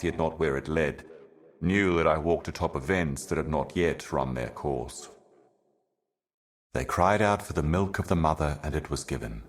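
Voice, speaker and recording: A super deep ancient video game herald, grave and weary, slow cryptic doom monologue. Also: male voice. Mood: solemn, haunted. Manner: grave, deliberate, ominous, poetic.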